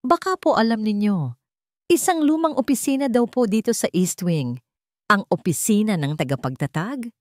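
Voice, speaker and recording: A woman's voice: medium pitch, moderate pace, no room sound, clean studio sound, voice pushed up, lightly acted. A woman narrator with a Filipino accent voicing a determined, courteous young chairwoman in an audio story. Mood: gentle. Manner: calm, courteous.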